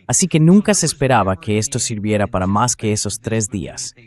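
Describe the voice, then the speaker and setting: A man's voice: fairly low pitch, expressive, brisk, a little mellow. A synthetic AI man's voice reading a dubbed translation of explanatory military commentary.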